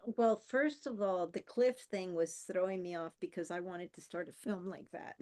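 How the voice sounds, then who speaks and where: A woman's voice: fairly low pitch, moderate pace, mellow. A woman from Peru, a workshop participant, casually sharing a personal reflection.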